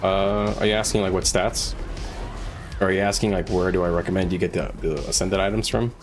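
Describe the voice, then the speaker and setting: Music plays clearly behind a man with a fairly low voice. He talks casually like a live game streamer, pausing and rephrasing as he thinks out loud while advising his chat. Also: level tone. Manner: halting, thinking aloud. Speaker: game livestreamer.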